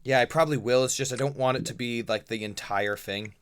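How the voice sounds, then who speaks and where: A man hosting a loose podcast with his friends, talking at a middling pitch. He rambles, gets sarcastic, and keeps pulling the chat back on topic. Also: fairly quick, a bit bright, clean tone. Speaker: podcast host.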